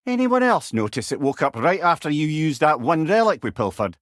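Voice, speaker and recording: An actor playing a wry, clever older male storyteller companion in a video game, with a Scottish accent and a droll, expressive manner. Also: fairly high pitch, fairly quick, no echo, studio quality. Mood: wry, musing. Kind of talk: video game character dialogue.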